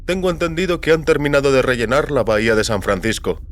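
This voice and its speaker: Man playing a brisk, genial older boss, lifted voice. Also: medium pitch, expressive, pitched above the performer's own voice, lightly acted. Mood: brisk, informative. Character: older businessman, brisk, genial employer in science fiction. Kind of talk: dramatized audio fiction.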